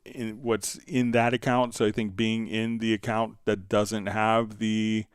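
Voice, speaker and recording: Low, smooth-voiced man explaining software. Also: level tone, measured pace, dry recording, studio quality. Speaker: livestream host answering viewer questions. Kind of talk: unscripted software tutorial, casual explaining.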